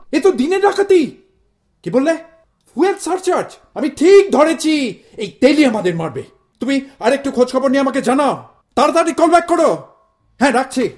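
An actor playing an excitable, exasperated middle-aged Bengali immigrant husband in a comic audio drama, exclaiming, grumbling and slipping into English phrases. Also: male voice, very high-pitched. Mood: urgent, flustered. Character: hot-headed exasperated Bengali immigrant husband, melodramatic and stubborn. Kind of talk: audio stage-style comedy drama acting.

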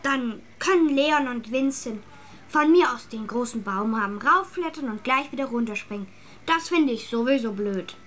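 A woman's voice: fairly high pitch, animated, moderate pace, fairly bright tone, slight background noise. An actress playing a headstrong, imaginative young schoolgirl in a radio play, plain-spoken and insistent in a childlike way. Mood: grudging. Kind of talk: German radio play acting.

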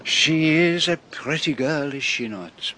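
A man's voice: on the high side, slow pace, bright, forceful tone, slight background noise. An actor playing a shrewd, vain older male detective with a Belgian French accent and a courtly, teasing, precise manner in a radio drama. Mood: playful, wry.

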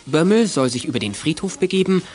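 A man's voice: a little high, animated, fast talker, darkish tone, faint music behind. A man narrating a scripted children's audio drama.

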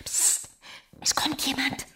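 A woman's voice: slow, pushed and bright. A woman acting a passionate, yearning young wife in a literary radio drama, speaking with intimate, fervent emotion. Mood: urgent, secretive.

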